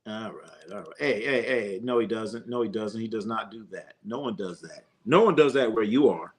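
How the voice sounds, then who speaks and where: A man's voice: fairly low pitch, moderate pace. A man hosting a live sports stream, chatting loosely with viewers and a co-host, joking and using slang with lots of fillers. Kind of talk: casual live stream chat reading comments.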